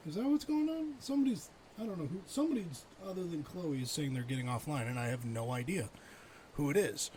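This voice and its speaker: A man's voice: on the high side, lively intonation, quick pace, darkish tone, a little hiss or hum, low-quality recording. A middle-aged man livestreaming a game, thinking aloud and chatting casually with viewers. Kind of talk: casual live game stream chat.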